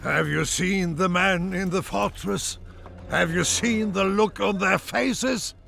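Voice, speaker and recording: Very gravelly old war veteran. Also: male voice, high-pitched, sharp tone, full character voice. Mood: appalled. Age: older. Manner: stern, reproachful, measured. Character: principled, weary old German war veteran.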